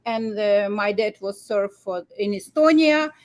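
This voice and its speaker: A middle-aged woman with a Ukrainian accent, a guest talking in halting English with many pauses and restarts. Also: medium pitch. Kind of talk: casual interview chat.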